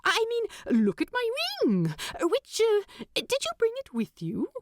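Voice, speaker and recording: Lady doing an excitable hobbit guy from a storybook, high voice all over the place, rambly and pushy in an eager way. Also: unhurried, no echo, heavily acted. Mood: eager, rambling. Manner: rambling, hesitant, eagerly persuasive. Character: excitable, talkative adult male hobbit, eager and stubborn.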